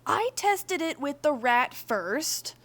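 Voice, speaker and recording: A woman's voice: sits high, very animated, slow, fairly bright tone, pitched above the performer's own voice, full character voice. Woman voicing a snappy, sarcastic, defensive man. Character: defensive, exasperated, sarcastic man in a bickering household.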